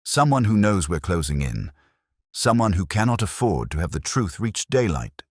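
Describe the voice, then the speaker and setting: A man's voice: deep voice, big pitch swings, normal speed, slightly rough, no room sound, clean studio sound, voice pushed up, exaggerated voice. A man voicing a cool, relentless detective in a storybook mystery, questioning in a level, precise manner. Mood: urgent, commanding. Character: cool, incisive male detective, relentless and commanding. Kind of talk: audiobook detective story dialogue.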